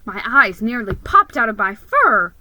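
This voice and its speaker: Bright-voiced woman reading a story dramatically. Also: a little high, unhurried, dry recording, low-quality recording. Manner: theatrical, expressive. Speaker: story reader.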